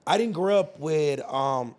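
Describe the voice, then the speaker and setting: A young man from California hosting a casual podcast chat, animated and teasing, swearing freely. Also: fairly high pitch. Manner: animated, swearing, quick to tease. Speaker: podcast host.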